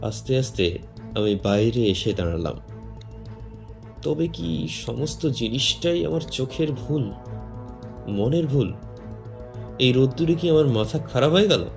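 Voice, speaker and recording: A man narrating a horror story in the first person, theatrical and suspenseful, with drawn-out pauses. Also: mid-range voice, animated, vocal fry, a little mellow, faint music behind.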